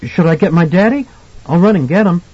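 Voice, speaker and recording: A man's voice: on the high side, animated, normal speed, a little mellow, breathy edge, dry recording, highs cut off, voice pushed up. A man voicing an earnest, puzzled little boy who speaks politely and innocently in an audiobook. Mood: alarmed, worried. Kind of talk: audiobook character acting.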